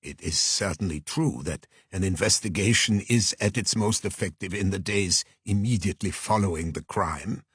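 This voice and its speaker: A man voicing a polite, deliberate private detective who speaks formally and precisely in an audiobook. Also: low-pitched, a bit bright, breathy edge, dry recording, studio quality, exaggerated voice. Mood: courteous. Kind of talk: audiobook character voice.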